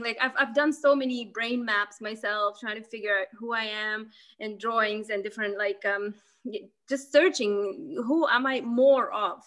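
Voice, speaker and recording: A young woman from Lithuania talks through her story in a casual podcast interview. Her voice sits a little high. She hesitates often, circling back with fillers and restarts as she thinks aloud. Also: a bit bright. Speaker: podcast guest.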